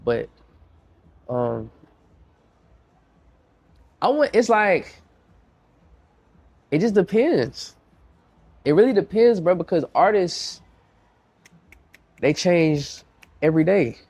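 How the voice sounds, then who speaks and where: A young man with a congested, stuffed-up voice, talking as a guest producer in a loose podcast chat. He sits a little high in pitch and leans into slang, circling back and repeating phrases as his stories pick up speed. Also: takes it slowly, creaky, long pauses. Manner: slangy, repeats himself, lively storytelling. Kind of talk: casual podcast interview.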